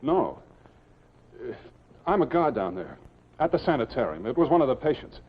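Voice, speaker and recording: An actor playing a nervous, apologetic sanitarium guard, talking hurriedly in a 1950s television drama. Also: male voice, medium pitch, big pitch swings, moderate pace, slightly rough. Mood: anxious, apologetic. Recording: dull, muffled 1950s television soundtrack.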